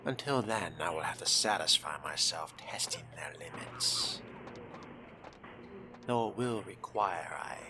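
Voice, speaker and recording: A man voicing a haughty, scheming fledgling vampire in a video game roleplay, breathy and near-whispered, musing to himself in pompous, disdainful language. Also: low voice, expressive, a little slow, background noise. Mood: musing, hungry. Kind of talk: in-character roleplay monologue over a video game.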